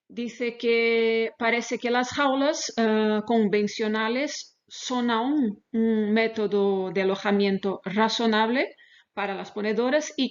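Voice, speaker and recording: A woman who speaks Spanish as a second language, presenting a research lecture in a webinar with careful, halting phrasing. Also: a little high. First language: not Spanish, speaks Spanish as a second language. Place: online webinar. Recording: dull, lo-fi webinar audio.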